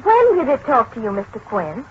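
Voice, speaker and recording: A woman playing a brisk, level-headed newspaper reporter in a 1940s radio drama. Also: fairly high pitch, huge pitch swings, slightly rough, highs cut off, heavily acted. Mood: firm, curious. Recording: noisy, band-limited 1940s radio recording.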